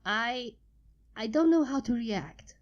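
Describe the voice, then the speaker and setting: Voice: mid-range voice, animated, slow, dull audio, pitched above the performer's own voice. A fanfiction reader voicing an earnest young female unicorn scholar.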